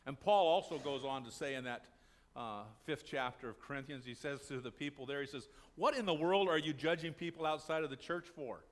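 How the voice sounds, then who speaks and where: A man's voice: on the high side, brisk. An older man preaching a sermon in a small church, talking to the congregation in a conversational way and answering his own questions. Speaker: pastor. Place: small church sanctuary, indoors.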